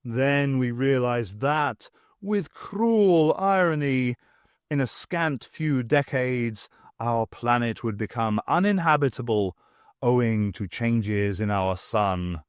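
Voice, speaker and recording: A man's voice: mid-range voice, lively intonation, takes it slowly, clear, resonant tone, dry recording, studio quality. A man voicing a pompous, stately male alien leader in an audiobook, haughty and grand. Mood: haughty, wistful. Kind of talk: audiobook character voice.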